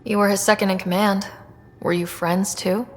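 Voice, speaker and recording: A woman's voice: mid-range voice, flat delivery, normal speed, close to an everyday voice. A woman playing a curious, friendly young space explorer who leads a crew in a video game. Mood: probing. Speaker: expedition leader.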